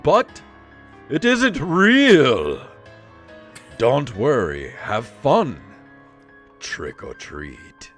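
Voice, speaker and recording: A man's voice: mid-range voice, wildly swooping pitch, fairly bright tone. A tired-sounding man hosting a podcast, chatting casually and comedically, sometimes reading out theatrically. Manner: theatrical, drawn-out dramatic reading of stories. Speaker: podcast host. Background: background music.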